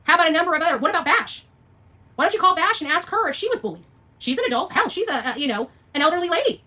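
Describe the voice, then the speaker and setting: A woman with a high, bright voice delivers an unscripted livestream rant. She talks quickly and combatively, leaning on repeated phrases and pointed rhetorical questions as she answers her viewers. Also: animated. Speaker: livestream host responding to viewer comments.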